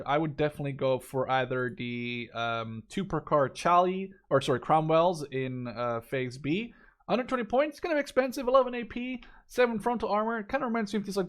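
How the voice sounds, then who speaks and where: A man in his twenties giving casual, unscripted game commentary, informal and thinking aloud as he goes. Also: fairly high pitch. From Canada-based, Dutch. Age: 20s. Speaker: gaming YouTuber reviewing a strategy game.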